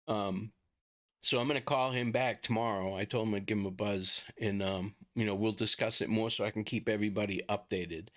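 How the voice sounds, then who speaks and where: Man, Boston accent, livestream host, casual chat, informal halting delivery, mid-range pitch. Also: a bit bright. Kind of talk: casual livestream talk to viewers.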